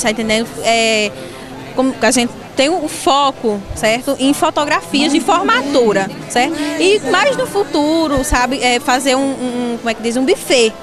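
A woman, giving casual, hesitant interview answers peppered with confirming tags. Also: high voice. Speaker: photography business representative being interviewed. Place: outdoor evening fair tent. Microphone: handheld reporter microphone.

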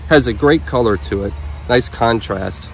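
A man's voice: mid-range voice, moderate pace, gravelly, slight background noise. A man in his thirties from Illinois, explaining flower traits in careful detail outdoors. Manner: knowledgeable, detailed, matter-of-fact. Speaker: flower breeder. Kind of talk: casual interview about flowers. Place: outdoors in a garden.

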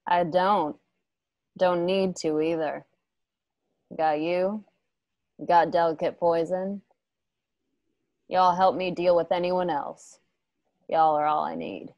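Hardened vengeful young woman played by an actress, very low voice, unhurried, blunt, dry close sound, radio play. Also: steady tone, dry recording, lo-fi sound. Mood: cold, defiant. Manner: blunt, foul-mouthed. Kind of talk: remote radio play acting.

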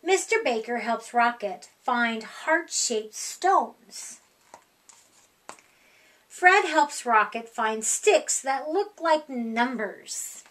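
Storytime reader: middle-aged woman, high voice, unhurried and expressive picture-book narration. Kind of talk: read-aloud children's picture book narration. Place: small room indoors.

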